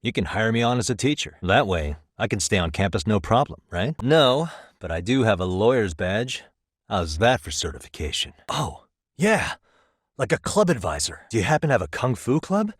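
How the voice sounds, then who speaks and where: A man's voice: on the low side, very animated, moderate pace, breathy edge, no echo. A male actor with an American accent playing a wry, easygoing private detective in a video game, talking in a dry, casual, persuasive way. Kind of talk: scripted game dialogue, conversational.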